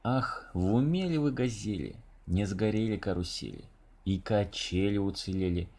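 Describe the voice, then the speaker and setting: A man voicing a harried, exasperated but soft-hearted man who answers endless calls in a children's storybook. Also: fairly low pitch, normal speed.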